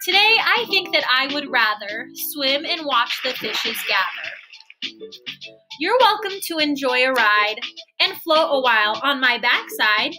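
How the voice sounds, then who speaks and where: A young woman giving an expressive, sing-song read-aloud of a children's storybook, indoors at a computer. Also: high voice, a little slow, music in the background, rough recording. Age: young adult. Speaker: storybook reader.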